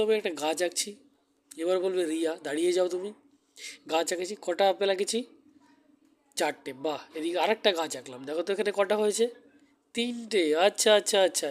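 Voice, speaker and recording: Higher-voiced man teaching young children. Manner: question-and-answer teaching, repeating key words for pupils. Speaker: maths teacher giving a demo class. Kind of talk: primary school lesson addressed to children.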